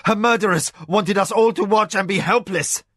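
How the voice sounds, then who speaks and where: A man's voice: mid-range voice, animated, measured pace, a bit bright, husky, dry recording, studio quality. A man voicing a young male nobleman, urgent and impassioned, who gives orders to his household. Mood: anguished. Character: young male noble, desperate, commanding, anguished.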